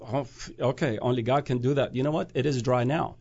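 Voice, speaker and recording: A man's voice: medium pitch, a little fast, darkish tone, breathy edge, dull audio. A man who grew up in Iraq, a guest speaking about prophecy in an earnest, preaching way, often restarting phrases and rising to emphatic peaks.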